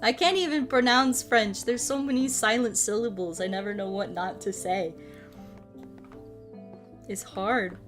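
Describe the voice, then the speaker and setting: A woman chats with her viewers while she plays a puzzle game. She takes her time between thoughts and keeps things playful and self-mocking. Her voice sits at an ordinary middle pitch. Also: a little slow, pauses often, fairly bright tone, faint music behind. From United States. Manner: playful, self-mocking, laughs often, tries out broken Spanish and French. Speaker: streamer. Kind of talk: casual chat while playing a puzzle game.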